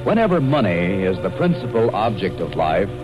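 A man's voice: fairly low pitch, sing-song, normal speed. A man reading a scripted opening for an old-time radio serial as its announcer. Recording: dull old radio recording, loud organ. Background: loud organ music.

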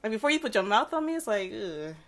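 A woman's voice: a little high, fast talker, crowd noise. A woman co-hosting a casual podcast, speaking bluntly and frankly about her own rules. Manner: blunt, expressive. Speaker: podcast co-host. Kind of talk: casual group chat. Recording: friends chattering and laughing around her.

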